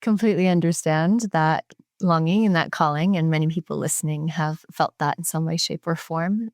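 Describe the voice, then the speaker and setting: A woman hosts a podcast interview, asking her questions in a measured, warm manner. Her voice sits low for a woman. The recording is dry and close. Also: dry recording. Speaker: podcast host.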